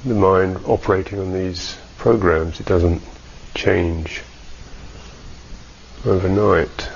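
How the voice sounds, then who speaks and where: A man teaching a guided meditation in a measured, halting way with long pauses, on a dull recording. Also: low voice, steady tone, gravelly, slight background noise.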